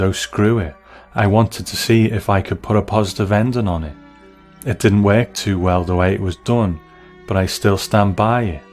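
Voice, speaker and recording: A man hosting a show, giving measured, reflective commentary as he reviews a piece. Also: low voice, darkish tone. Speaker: podcast host. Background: music.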